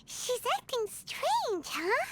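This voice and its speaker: A woman voicing a tiny childlike fairy companion in a video game, squeaky and earnest. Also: wildly swooping pitch, takes it slowly, very noisy, full character voice. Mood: puzzled. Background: game background music.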